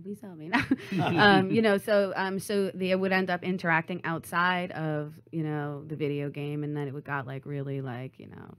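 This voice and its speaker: Female comic guest for talk radio: slightly low voice, loose, chatty, meandering. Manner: informal, rambling, peppered with "like" and "you know". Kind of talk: casual chat.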